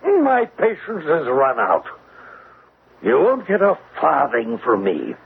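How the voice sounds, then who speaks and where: A distraught old banker, tinny radio. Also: male voice, on the high side, wildly swooping pitch, creaky, exaggerated voice. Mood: stern, upset. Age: older. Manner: agitated, halting, confessional. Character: distraught, remorseful elderly English banker and father. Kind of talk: classic radio drama acting. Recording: tinny, band-limited old radio, faint hiss.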